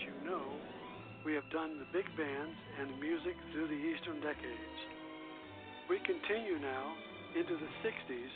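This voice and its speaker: A man hosting a radio show, reading scripted narration in a somewhat halting way. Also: a little high. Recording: dull lo-fi radio recording.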